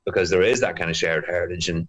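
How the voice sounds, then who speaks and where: Low-voiced Northern Irish man chatting casually. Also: fast, fairly bright tone, highs cut off. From Northern Ireland. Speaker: guest, singer-songwriter. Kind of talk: casual interview chat.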